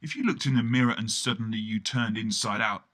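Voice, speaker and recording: A man's voice: fairly low pitch, brisk, a bit bright. A man performing a surreal first-person radio play monologue in a theatrical way, with colloquial asides and sudden exclamations. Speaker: storyteller narrating in first person.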